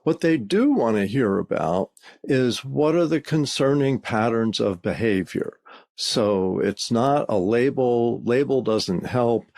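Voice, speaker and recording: A man's voice: medium pitch, expressive, slow, creaky, a little mellow. A man co-hosting a podcast, explaining as a legal expert in an emphatic, plain-spoken, conversational way.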